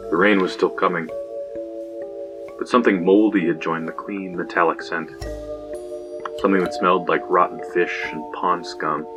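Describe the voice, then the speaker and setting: Young slacker narrator played by a man, very low voice, audio drama, blunt casual storytelling, music underneath. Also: fairly even intonation, normal speed, pauses often, close to an everyday voice. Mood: brooding, hushed. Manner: casual, profane, matter-of-fact. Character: young slacker man recounting a grim trespassing adventure. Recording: music bed behind the voice.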